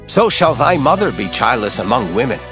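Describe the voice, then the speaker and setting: A man's voice: medium pitch, sing-song, brisk, vocal fry, music in the background. A man playing a grave, authoritative Hebrew prophet in a scripture audio drama, declaiming his lines with emphasis. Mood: stern, condemning.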